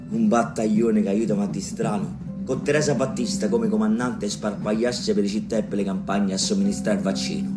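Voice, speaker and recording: A man's voice: fairly low pitch, natural voice. An actor playing an earthy male village storyteller, declaiming in a bawdy, colloquial way for a radio play. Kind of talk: theatrical storytelling narration.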